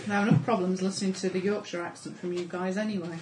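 A woman's voice: lowish voice, fairly even intonation, fast talker. A woman chatting in a plain, matter-of-fact way at a tabletop game table. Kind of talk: casual chat at a game table. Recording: roomy room with audible noise.

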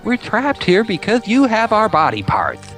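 A man's voice: sits high, huge pitch swings, normal speed, a bit bright, soft background music, voice pushed up, exaggerated voice. A man voicing the pleading, insistent ghost of a wronged young man in an amateur radio play. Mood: bitter, accusing. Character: pleading young male ghost, mayor's murdered son, bitterly wronged.

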